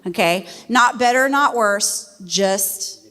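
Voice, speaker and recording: A middle-aged woman from West Virginia, a missionary speaking to a church congregation in a folksy, animated way. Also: mid-range voice, takes it slowly. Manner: folksy and animated, laughs easily. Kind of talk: church testimony talk. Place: church sanctuary. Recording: long reverb of a church hall.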